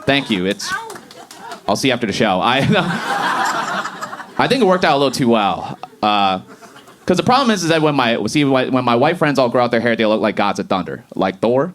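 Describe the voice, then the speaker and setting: A man of thirty doing a stand-up comedy set on a club stage, animated and jumping to high shouts on punchlines. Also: moderate pace, a little hiss or hum. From Boston area.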